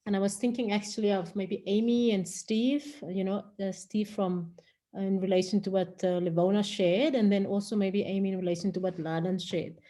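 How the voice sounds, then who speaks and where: A woman's voice: mid-range voice, fairly even intonation, normal speed, warm tone. A woman moderating an online panel discussion informally, polite and a little tentative as she hands over. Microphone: video call.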